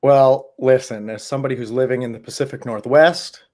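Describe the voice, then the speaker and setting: A man hosting a casual livestream Q&A, a bit hoarse, talking in a chatty, rambling way with many fillers. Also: mid-range voice, steady tone, a little fast, darkish tone. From Southwest Ohio. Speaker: host.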